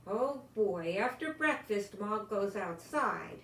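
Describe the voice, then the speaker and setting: An older woman reads a picture book to young children at an unhurried pace, with plenty of lilt in her delivery. She lingers on words and drops in chatty asides. The recording sounds roomy. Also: mid-range voice. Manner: expressive, lingering on words, talking as to small children. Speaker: storyteller reading a picture book aloud. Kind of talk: read-aloud children's story with chatty asides. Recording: roomy home recording with faint noise.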